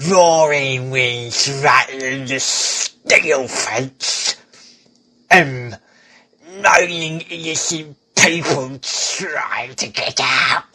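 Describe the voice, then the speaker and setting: Man acting a theatrical, slow disaster narrator. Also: medium pitch, pushed and bright, heavily acted. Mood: dramatic.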